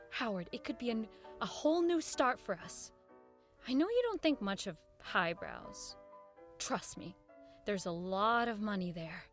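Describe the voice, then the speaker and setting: A woman acting in a radio drama as an earnest, idealistic broadcaster, restrained and a little hesitant. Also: fairly high pitch.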